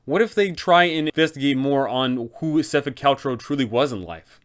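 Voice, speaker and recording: A man's voice: medium pitch, lively intonation, moderate pace. The kind of man heard hosting an online tabletop roleplaying guide, reading scripted advice to game masters in a mid-range voice.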